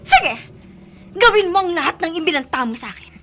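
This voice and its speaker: A woman's voice: very high-pitched, big pitch swings, fairly quick, background noise. A woman playing a bitter, defiant young mother who accuses the man in a radio drama.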